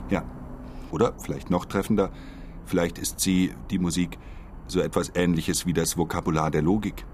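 An actor as an earnest male envoy, deep, formal, articulate, long-winded, radio drama, slight background ambience. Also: steady tone, moderate pace, a little mellow, slight background noise, close to an everyday voice. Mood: earnest, explaining. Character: earnest, wordy male envoy pitching for a mysterious client.

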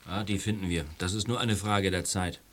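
A middle-aged police inspector in an audio drama. He is calm and fatherly, speaking in a lower voice with slight background ambience. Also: a little hiss or hum. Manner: measured, probing. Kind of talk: radio play acting.

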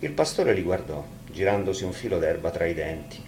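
A man's voice: low voice, sing-song, fairly quick, darkish tone, slight background noise. A man reading a fairy tale expressively, as a storyteller.

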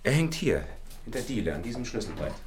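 An actor playing a shaken, earnest physician who explains himself a little hesitantly in a German radio drama. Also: male voice, medium pitch, very animated, quick pace, background noise, lightly acted. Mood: earnest, uneasy.

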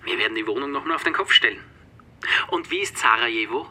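Male detective's assistant, radio play: piercingly bright, raspy breath, matter-of-fact reports. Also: medium pitch, fast. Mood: businesslike. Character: businesslike male police assistant reporting to his chief. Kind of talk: German radio play acting.

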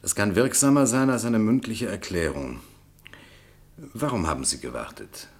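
A man's voice: lowish voice, expressive, normal speed, darkish tone. An actor playing a shrewd, eloquent defence lawyer in a German radio drama, relishing his words theatrically. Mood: shrewd, regretful.

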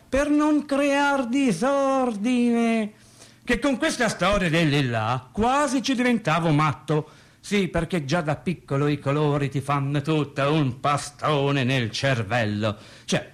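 Radio monologue: agitated working man, higher-pitched, very unhurried, circling back over his words in a colloquial ramble. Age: adult over thirty. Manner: halting, repetitive, colloquial storytelling with stammered restarts.

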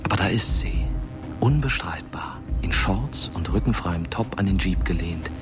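Very low, brooding male narrator. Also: lively intonation, normal speed, distant mic, music in the background. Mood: grave, wistful. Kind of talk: hushed scripted radio drama narration.

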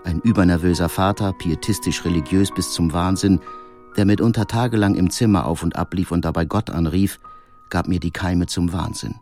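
Man playing a deep-voiced, brooding painter. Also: normal speed, darkish tone, soft background music. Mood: grave, reflective. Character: brooding male painter recalling his troubled life. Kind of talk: dramatized first-person reading in an audio biography.

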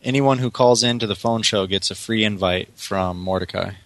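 A man with a low voice, recorded close and dry, co-hosts a casual show. His banter is sarcastic and mock-serious. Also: moderate pace, a bit bright, no echo. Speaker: co-host of a prank phone call show.

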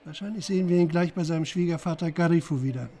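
A man's voice: a little high, quick pace, mellow. An actor in a German radio crime drama playing a shrewd, commanding police chief who analyses for his team. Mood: controlled. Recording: faint background noise.

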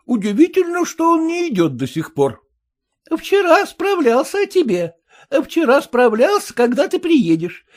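A man's voice: very high-pitched, wildly swooping pitch, a little slow, voice pushed up, exaggerated voice. A man voicing a doting elderly aunt who fusses and repeats herself. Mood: fussing. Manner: fussy, repeats words.

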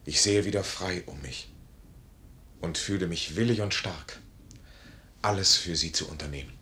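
An actor playing a proud, ardent army major in a 1950s German radio play, with earnest, formal stage diction. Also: male voice, low-pitched, normal speed, sharp tone. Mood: stirred. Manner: earnest, theatrical, formal classical phrasing. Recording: noisy 1950s radio recording.

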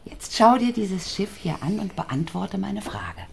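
A woman's voice: medium pitch, animated, a little slow, gravelly, darkish tone, a little hiss or hum. An actress playing a boy's middle-aged waitress mother in a German radio drama, theatrical, swinging between nagging and wistful dreaming. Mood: scolding.

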